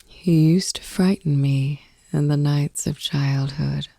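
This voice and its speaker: A woman reading poetry as a sleep ASMR podcast host in a soft, breathy whisper, slow and soothing, close to the microphone. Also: low voice, steady tone, dry recording.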